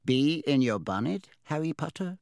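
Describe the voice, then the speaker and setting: A shrewd old enchanted hat speaks, acted out by a male narrator in a raised voice. It sounds knowing and deliberate, like a storybook character, recorded dry and close. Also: on the high side, very animated, a little mellow, no room sound, pitched above the performer's own voice. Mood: knowing, sly. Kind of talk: audiobook character voice.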